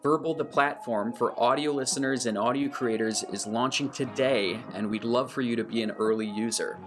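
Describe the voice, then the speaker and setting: A man's voice: mid-range voice, moderate pace, music in the background. A man speaking as a podcast host in a scripted narration style, addressing the listener directly.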